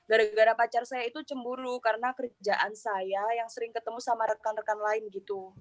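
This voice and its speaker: A woman's voice: on the high side, level tone, brisk, natural voice. A woman playing a 28-year-old model who tells a counseling group about her love troubles in a chatty, earnest way. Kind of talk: student counseling roleplay.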